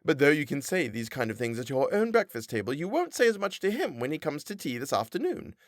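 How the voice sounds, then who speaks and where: A shrill, self-righteous wife in a storybook reading, nagging in a nasal twang, as a male narrator puts her on. Her voice sits high above the reader's own and swings widely. The recording is dry and close. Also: big pitch swings, brisk, no echo. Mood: scolding. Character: nagging pious middle-aged wife. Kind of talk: audiobook character voice.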